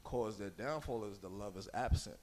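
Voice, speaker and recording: A man's voice: fairly low pitch, moderate pace, noisy background. A man co-hosting a podcast interview, chiming in with earnest, somewhat preachy commentary. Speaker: podcast co-host. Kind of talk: casual interview chat.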